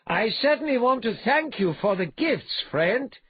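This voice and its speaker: A man's voice: high-pitched, sing-song, a little slow, slightly rough, no echo, muffled recording, voice pushed up, full character voice. A man voicing a wily adventurer thief in a fantasy storybook, urbane, mock-polite and taunting. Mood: mock gratitude.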